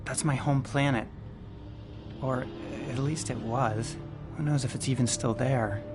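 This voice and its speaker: A man voices an anxious, easily exasperated spaceship survivor in a video game. He speaks in a mid-pitched, conversational, dry way, earnest underneath. Game music plays clearly behind him. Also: steady tone, unhurried, lightly acted. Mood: downcast. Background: game background music.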